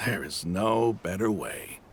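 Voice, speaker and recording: A man's voice: deep voice, lively intonation, slow, a little hiss or hum. A man playing a passionate mercenary leader in a video game, with an earnest, persuasive, emphatic delivery. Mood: earnest conviction. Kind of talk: video game cutscene voice acting.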